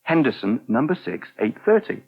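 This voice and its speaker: A helpful, touchy young English restaurant worker in a vintage British radio soap. His voice is rough-edged and very lively in pitch, with brisk, plain delivery. The sound is narrow and thin, like an old broadcast tape. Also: heavily acted. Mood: brisk, businesslike. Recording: thin, band-limited old radio recording.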